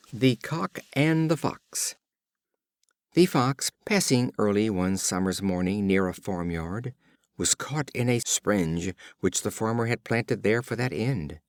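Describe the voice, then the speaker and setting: Low-pitched man narrating fables with lively intonation. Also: no room sound, clean studio sound. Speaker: audiobook reader. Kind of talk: scripted storybook narration of fables.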